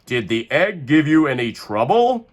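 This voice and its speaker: A man's voice: fairly high pitch, big pitch swings, a little slow, pitched above the performer's own voice. A man voicing a cheerful, bossy young penguin king in a storybook, making grand, playful royal proclamations. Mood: cheery.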